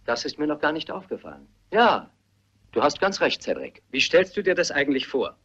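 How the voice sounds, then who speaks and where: Husky, indignant, defensive gentleman. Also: a little high, very animated, a little fast, full character voice. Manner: stiff, affronted. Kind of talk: German radio mystery play acting. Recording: muffled radio play recording.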